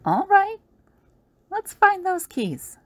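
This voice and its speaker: A woman's voice: very high voice, huge pitch swings, slow pace, pitched above the performer's own voice, heavily acted. A woman voicing a bossy, exasperated woman adventurer in an audio drama, speaking with urgency. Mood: determined. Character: Ali, brisk exasperated woman adventurer on a mission.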